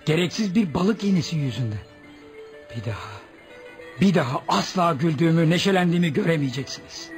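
A man's voice: on the high side, animated, brisk, faint music behind, natural voice. An actor playing a remorseful middle-aged Eskimo father in a radio play, lamenting in anguish. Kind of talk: radio theatre acting.

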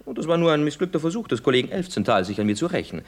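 A man's voice: fairly high pitch, big pitch swings, very fast, a little hiss or hum. A man playing a glib, teasing, penniless young artist in a radio play, theatrical, wheedling and mock-solemn. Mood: amused, brisk. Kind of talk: German radio play acting.

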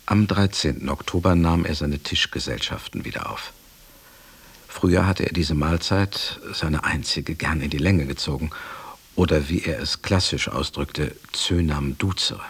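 Very deep, husky, sober attendant. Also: male voice, exaggerated voice. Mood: sober, reporting. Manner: measured, formal, deferential. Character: devoted, sober male attendant recounting his master's decline. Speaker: narrator. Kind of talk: radio drama narration, literary.

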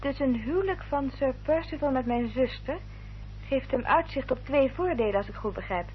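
An actress playing a sensible, protective elder sister in a radio drama, composed and articulate. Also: female voice, high voice, animated, normal speed. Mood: practical, inquiring. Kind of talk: radio drama acting and narration. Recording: dull old radio recording, faint hum.

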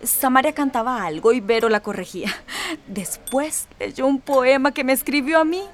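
A woman's voice: high-pitched, moderate pace, close to an everyday voice. Woman playing a worried, sighing Costa Rican mother. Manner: soft, sighing. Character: warm, anxious mother worried about her daughter. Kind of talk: radio drama acting.

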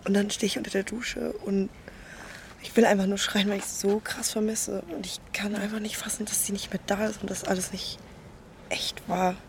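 A woman's voice: mid-range voice, flat delivery, moderate pace, vocal fry, slight background noise, close to an everyday voice. An actress playing a young queer woman podcaster, hurt, introspective and tender, in a naturalistic audio drama with intimate inner monologue. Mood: hurt, longing.

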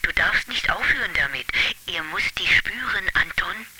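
An actress playing a caring old village grandmother in a radio drama, expressive and full of fussy exclamations. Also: on the low side, moderate pace, lightly acted. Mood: caring, determined. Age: older. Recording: very noisy, clicking track.

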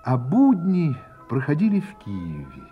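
A man's voice: medium pitch, huge pitch swings, a little slow, narrow, tinny audio. A man narrating a memoir radio play in a reflective storytelling manner. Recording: radio play with faint music.

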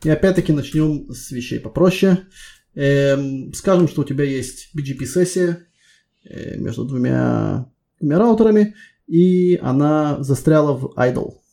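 A man's voice: a little high, animated, measured pace, vocal fry, mellow. A man conducting a spontaneous technical job interview, asking probing questions one step at a time.

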